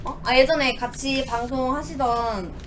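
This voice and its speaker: A woman's voice: high-pitched, fairly even intonation, unhurried, far from the mic, noisy background. A young woman guest on a drinking stream, speaking politely and asking questions. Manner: polite, mild, asks questions. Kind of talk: casual drinking stream chat.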